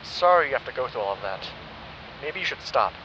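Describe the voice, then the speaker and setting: A man voices a protective ally hiding out somewhere safe. He speaks calmly and reasons with care, and the sound is muffled and lo-fi with background hiss. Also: medium pitch, fairly quick. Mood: concerned. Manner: calm, reasoning, concerned. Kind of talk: audio drama acting. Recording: dull, noisy, lo-fi recording.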